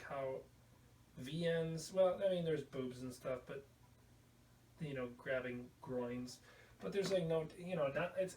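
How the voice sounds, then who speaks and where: A man's voice: on the high side, darkish tone. A man hosting a casual video podcast, rambling through a show-and-tell with an irreverent, crude sense of humor. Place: indoor room at home. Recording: roomy, distant mic, faint background noise.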